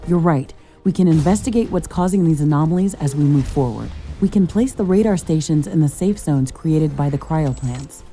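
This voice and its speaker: A woman's voice: low-pitched, moderate pace, hardly any pauses, mellow, faint music behind, natural voice. A woman playing a curious, determined scientist-commando who pilots a mech suit in a video game, explaining things purposefully. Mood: focused, businesslike.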